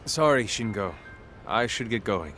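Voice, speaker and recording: A gruff, kindly team leader. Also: male voice, fairly low pitch, lively intonation, measured pace, a bit bright, faint music behind. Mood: subdued. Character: gruff but kind, generous older male team leader. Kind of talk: video game cutscene acting.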